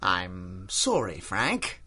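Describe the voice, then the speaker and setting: An older radio-drama scientist consumed by his obsession. His voice is forceful and highly animated, with formal, theatrical phrasing. He is courteous on the surface, patronizing and ruthless underneath. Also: very slow, husky, exaggerated voice. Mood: coldly fanatical. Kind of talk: 1930s-style radio drama acting.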